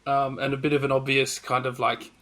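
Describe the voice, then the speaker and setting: A man's voice: mid-range voice, monotone, brisk, fairly bright tone. A man chatting casually as a panelist on a film discussion podcast. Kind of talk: casual chat.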